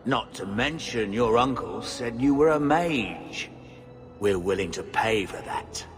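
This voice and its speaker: A blunt mercenary boss who drawls his words out slowly and swears without a second thought. A faint game score plays behind him. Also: lowish voice, animated. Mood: gruff. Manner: blunt, coarse, swears freely. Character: gruff crude male mercenary captain. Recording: game audio with music behind.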